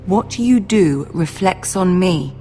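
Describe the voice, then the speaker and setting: Imperious young noblewoman, suspicious, formal, slightly low voice, fantasy game with faint score. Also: expressive, slow pace. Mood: stern, reproachful. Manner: formal, commanding, clipped. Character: stern, suspicious young noblewoman scolding her handmaidens. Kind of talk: video game drama acting. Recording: soft music behind.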